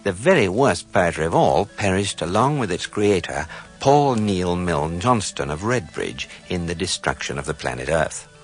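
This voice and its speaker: A man's voice: low voice, moderate pace, soft background music. A man playing a dry, erudite guidebook narrator in a radio comedy, with an English accent. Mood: deadpan. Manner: deadpan, erudite.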